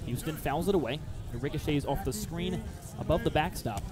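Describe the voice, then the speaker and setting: A man's voice: on the high side, brisk, over music. A man calling live baseball play-by-play for radio, mixing clipped phrases with detailed description.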